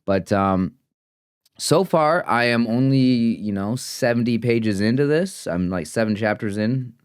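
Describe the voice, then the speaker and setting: A man's voice: mid-range voice, expressive, a little slow, clean tone, dry recording, clean studio sound. A man of twenty-nine talking casually and earnestly to camera in a vlog, rambling with fillers and restarts. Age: 20s. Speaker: vlogger. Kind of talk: casual reflective vlog talk.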